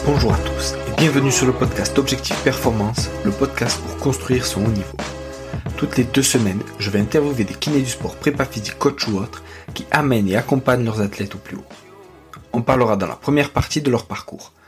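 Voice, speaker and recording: A man's voice: medium pitch, fairly quick, music in the background. A man hosting a sports podcast, reading a scripted welcome, then asking short interview questions. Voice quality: very husky, breathy. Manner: reads intro smoothly, asks short questions. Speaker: podcast host.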